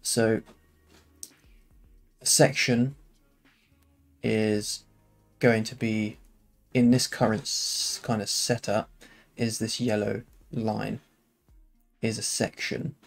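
A man with a slightly croaky, lowish voice talks slowly through a screen-recorded software tutorial. His explaining is relaxed and unscripted, full of fillers. Faint music sits under his voice from a desktop mic. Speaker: game engine tutorial host.

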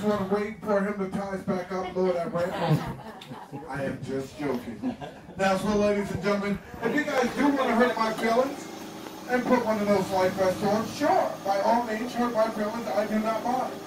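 A man's voice: high-pitched, moderate pace, noisy background. A man giving a boat tour with corny jokes and theatrical announcements, heard through a distant camera. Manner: corny jokes, theatrical "ladies and gentlemen" delivery. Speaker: glass bottom boat tour guide. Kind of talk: joking tour guide patter. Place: open boat on a spring, with tourists. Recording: roomy, from far off, loud music behind.

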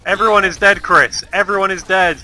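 Excitable bright-voiced English man. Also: sits high, brisk, rough recording. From England. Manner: excitable, loud bursts of shouting. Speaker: gaming channel co-host. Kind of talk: casual gameplay chat.

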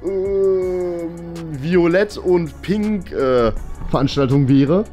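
A man's voice: high-pitched, extremely slow, mellow, faint music behind. A young man hosting a casual vlog with playful banter, recorded indoors on a handheld camera. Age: young adult. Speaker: YouTube host. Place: indoor office rooms.

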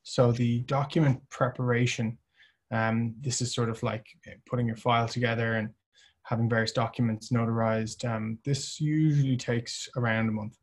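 A middle-aged man giving a measured webinar presentation over a video call, with many fillers and restarts. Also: medium pitch, level tone. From Ireland. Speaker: company chief presenting at a webinar.

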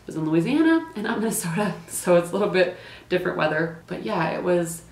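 Chatty young woman, low gravelly voice. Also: lively intonation, quick pace, reverberant. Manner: chatty, lots of fillers and "like". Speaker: running vlogger. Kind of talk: casual race recap to camera. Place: small indoor room, plain wall.

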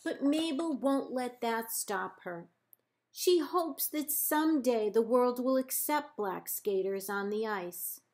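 A woman librarian reading a children's picture book aloud in measured, deliberate phrases with pauses. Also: fairly high pitch, a little mellow. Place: indoors, book held up to camera.

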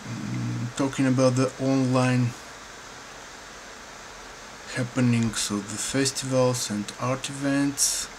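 Slow-spoken Bulgarian man, halting and thoughtful. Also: mid-range voice, level tone. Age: middle-aged. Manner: slow, halting, thoughtful, many pauses and fillers. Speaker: painter and filmmaker. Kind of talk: answering interview questions to camera. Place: dim room indoors at home. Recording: clear room noise behind.

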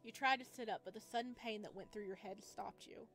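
A woman reading a visual novel aloud in an expressive way, adding casual asides as a streamer. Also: mid-range voice, a little fast, faint music behind. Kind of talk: lively read-aloud of a game with casual commentary.

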